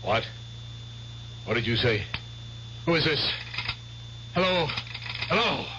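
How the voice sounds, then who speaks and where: A man's voice: a little high, animated, takes it slowly. An actor in a 1970s radio drama playing a grumpy, groggy man woken by a late-night call. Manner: groggy, irritable. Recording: muffled old radio recording with loud hiss.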